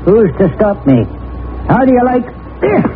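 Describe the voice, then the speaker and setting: Menacing American homesteader, folksy rural villain, swooping intonation, radio crime drama, tinny scratchy 1940s recording. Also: male voice, sits high, sing-song, unhurried. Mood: cold, menacing. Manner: folksy rural speech turning threatening. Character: menacing, greedy, cold-blooded homesteader villain, adult man.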